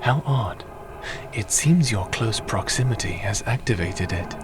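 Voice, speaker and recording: A man playing a calm, gently teasing mage in an ASMR roleplay drama, with a measured, soft-spoken, dry delivery that slips into whispery tones. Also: on the low side, background noise. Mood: intrigued.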